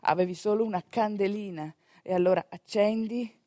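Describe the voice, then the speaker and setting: A woman with a northeastern Italian accent telling a theatrical monologue in an expressive, conversational manner. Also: medium pitch, moderate pace, no echo, clean studio sound. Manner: expressive, conversational, with rhetorical questions and asides. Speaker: stage actor and storyteller.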